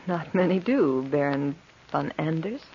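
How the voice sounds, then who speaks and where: A woman's voice: sits low, animated, darkish tone, a little hiss or hum. A woman playing a serene, sinister supernatural spinner of fates in an old radio horror drama, murmuring ominously. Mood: eerie, inviting. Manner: measured, ominous, breathy murmuring. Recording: dull old radio recording.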